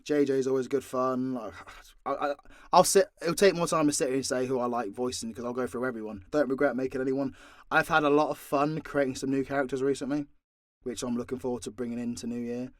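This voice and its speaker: A man's voice: medium pitch, steady tone, fast talker, no echo. Sweary British man, dry close recording. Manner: sweary, blunt, informal. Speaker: voice actor and channel creator answering fan questions. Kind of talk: casual unscripted Q&A chat.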